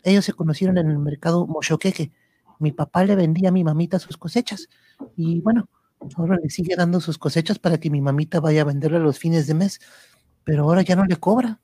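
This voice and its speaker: A woman's voice: low voice, brisk, dark tone, husky, no echo. A woman playing a helpful, earnest young boy in an amateur radio drama, speaking as if reciting.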